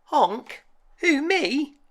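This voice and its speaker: A man's voice: extremely high pitch, sing-song, drawn-out words, pitched above the performer's own voice, heavily acted. A man voicing a young male duckling in a storybook, lonely and earnest, with plaintive honking. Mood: timid, unsure.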